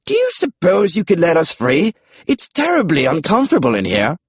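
Storybook pterodactyl, played by a male narrator: courteous British scholar, raised pitch, lively swoops. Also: normal speed, slightly rough, dry recording, studio quality, heavily acted. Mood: politely pleading. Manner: courteous, prim. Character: polite, scholarly male pterodactyl, mildly put out.

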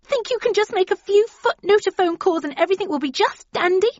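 A woman voicing an angry, jealous middle-aged woman in an audiobook, shrill and scornful. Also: fairly quick, husky, no room sound, highs cut off. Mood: indignant, threatening. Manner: shrill, spitting, rising indignation.

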